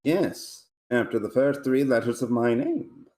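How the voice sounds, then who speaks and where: Fallen lord , male reader: pompous, self-pitying, lofty declamation at an unhurried pace, mid-range voice. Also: mid-range voice, expressive, warm tone, a little hiss or hum, close to an everyday voice. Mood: pompous, wounded. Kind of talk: amateur script table read.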